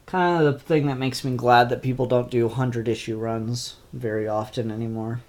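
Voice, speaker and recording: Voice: mid-range voice, fairly even intonation, normal speed, a little mellow. A comic book reviewer with a stuffed-up, congested voice, talking through new issues in an offhand way with lots of ums and restarts. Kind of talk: casual spoken comic review.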